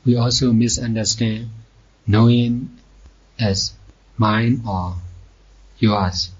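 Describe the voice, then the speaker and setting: A man teaching guided meditation slowly, in measured short phrases with long pauses. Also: medium pitch, fairly even intonation, breathy edge. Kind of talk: slow guided meditation instruction. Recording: dull, muffled lo-fi recording.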